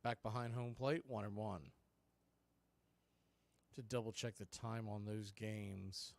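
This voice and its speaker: A man doing live baseball play-by-play, mixing clipped pitch calls with conversational asides about other games. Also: fairly even intonation, slow, creaky, no echo. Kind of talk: live sports play-by-play commentary with scoreboard asides.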